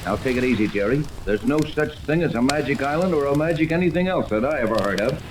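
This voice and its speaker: Man acting take-charge yacht captain, blunt, doubting, lively intonation, 1930s radio serial, noisy vintage recording. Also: mid-range voice, fast, close to an everyday voice. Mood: skeptical. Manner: commanding, blunt.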